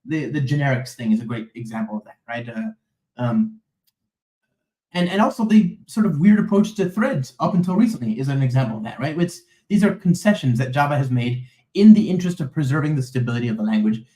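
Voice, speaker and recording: A man's voice: mid-range voice, lively intonation, brisk, warm tone, echoey room, rough recording. A man hosting a live coding stream, rambling through the code with lots of fillers and checks like "okay?" and "right?". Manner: talks to a live chat while typing code. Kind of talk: live coding walkthrough, casual and chatty.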